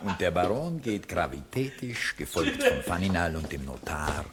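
A man's voice: sits low, big pitch swings, moderate pace. A man narrating the action of a radio play in a scripted delivery. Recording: noisy old radio recording, crowd behind.

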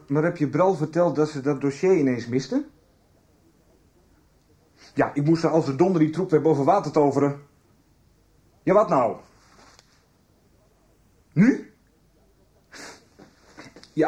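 An actor playing a cornered, agitated man in a halting phone conversation in a radio soap. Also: a little high, darkish tone, lightly acted. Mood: tense, defensive. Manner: halting, agitated, one-sided phone talk. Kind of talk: Dutch radio soap acting.

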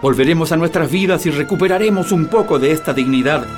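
An actor playing a determined, idealistic political prisoner in a radio drama, with a Chilean accent. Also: male voice, fairly high pitch, fast talker, over music, natural voice.

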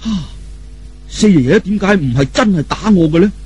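Naive young Cantonese martial hero, read by a male storyteller: husky, guileless, musing, lively intonation. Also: high-pitched, normal speed, soft background music. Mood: puzzled. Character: naive, good-hearted young martial hero, puzzled and thoughtful.

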